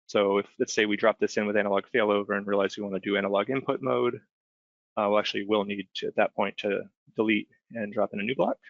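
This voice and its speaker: A man giving an informal, step-by-step software demonstration as a webinar trainer, explaining conversationally and often checking in with short tag questions. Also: fairly low pitch, level tone, quick pace, creaky, no room sound. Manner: conversational, explanatory, frequent check-in tags like "right?". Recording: dull, band-limited close dry voice recording.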